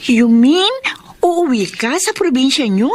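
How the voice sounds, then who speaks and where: High-pitched nosy, teasing young girlfriend type, casual radio drama banter. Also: expressive, slow, a little mellow, slight background noise. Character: pushy, teasing young female best friend. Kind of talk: Filipino radio drama acting.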